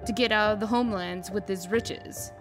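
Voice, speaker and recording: A woman playing a composed, businesslike official in a comic dub, with a measured manner. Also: medium pitch, fairly even intonation, over music, lightly acted. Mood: informative, discreet.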